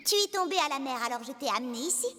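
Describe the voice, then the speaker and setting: Squeaky little sea creature. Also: female voice, expressive, rapid-fire, a little hiss or hum. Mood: friendly, curious. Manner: bubbly, childlike. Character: small, caring, excitable young female sea creature. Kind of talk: French cartoon dub acting. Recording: loud music behind the voice.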